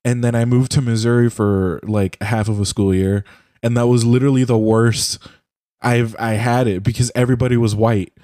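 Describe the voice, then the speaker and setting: A man's voice: medium pitch, fairly even intonation, normal speed, mellow, no room sound, clean studio sound. A young man from Puerto Rico whose first language is Spanish, chatting casually and profanely over a voice call, rambling with lots of "bro" and "like". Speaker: podcast host. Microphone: headset or desk mic on a voice call.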